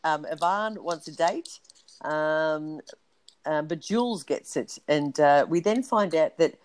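A woman's voice: low voice, slow, darkish tone, no room sound. A woman co-hosting a podcast, recapping in a casual, rambling way with lots of ums and asides. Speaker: podcast co-host.

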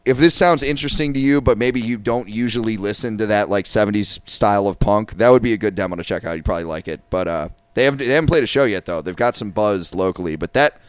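A man's voice: fairly low pitch, fast talker, few pauses, clean tone, no echo. A man co-hosting a punk podcast in casual chat, swearing often and rambling about local bands. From Rochester, New York. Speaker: podcast co-host.

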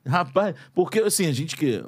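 A man's voice: fairly high pitch, expressive, normal speed. A man hosting a casual podcast in a studio, chatty and filling his talk with tag questions. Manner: chatty, fills with "né?", laughs easily. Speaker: podcast host.

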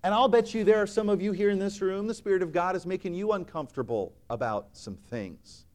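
A man with a fairly high voice delivers a sermon in a church sanctuary. He preaches earnestly and emphatically, rising to loud declarations and dropping to quiet, pointed lines. When he quotes scripture, he slips into solemn old-fashioned wording. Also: a little fast.

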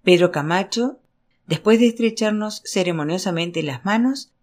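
A storyteller reads literary fiction aloud. The voice sits at a middle pitch, and the delivery is expressive, scripted narration. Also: normal speed. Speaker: audiobook narrator.

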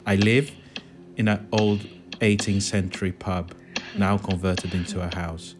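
A man from Brazil, a dance artist, narrating a calm guided walk with soft music behind. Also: low voice, unhurried.

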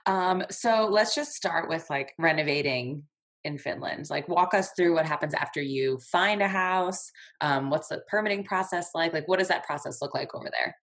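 A woman's voice: low voice, moderate pace, fairly bright tone. A woman hosting a casual interview, asking questions informally with plenty of "like". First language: English. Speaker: interviewer, host.

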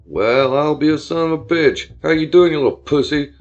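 A man voicing a crude, volatile young frat-bro bully in an audio story, loud and slangy with menacing taunts. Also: on the high side, normal speed, lo-fi sound, soft background music, natural voice. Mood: gloating menace.

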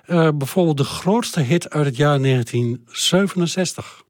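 A man's voice: a little high, normal speed, no room sound. Hesitant Dutch man, dry recording. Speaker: podcast host. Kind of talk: conversational music podcast narration.

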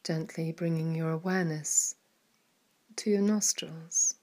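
A woman leading a guided mindfulness meditation in a soft, calm manner, with some words trailing into a whisper. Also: on the low side.

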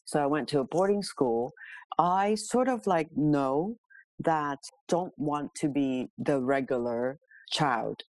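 A woman's voice: sits low, slow pace, darkish tone, no echo, poor audio. Middle-aged woman from Hong Kong, dry close sound. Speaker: podcast guest, milliner. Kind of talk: casual autobiographical interview talk.

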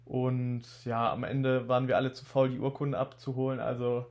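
A young man in his twenties with a slight cold, telling stories in a relaxed, casual podcast chat. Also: mid-range voice, fairly quick. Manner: relaxed storytelling, laid-back slang. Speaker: podcast co-host.

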